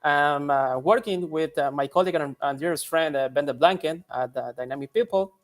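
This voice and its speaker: A man's voice: fairly high pitch, a little fast, soft background music. A man from Ecuador introducing himself as a panel guest, hesitant with many fillers. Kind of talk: casual online panel chat.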